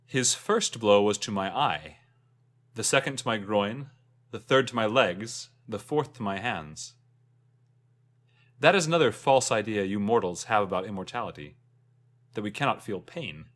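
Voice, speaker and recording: A man voicing an ageless, wistful immortal who tells a first-person fantasy tale in a solemn, measured way. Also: on the low side, animated, fairly bright tone. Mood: grave. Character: ageless, wistful immortal recounting an old jealous friendship.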